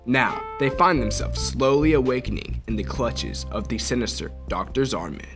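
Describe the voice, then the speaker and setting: A man's voice: mid-range voice, lively intonation, normal speed. A man narrating an old-time radio mystery in a suspenseful, dramatic announcer style. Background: music.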